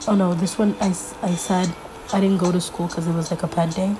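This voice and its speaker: A young woman hosting a casual solo podcast, a little congested from being sick, rambling with lots of fillers as she thinks out loud. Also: lowish voice, flat delivery, a little fast, noisy background. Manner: rambling, filler-heavy, thinking aloud while flipping pages. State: congested from a recent illness. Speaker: podcast host.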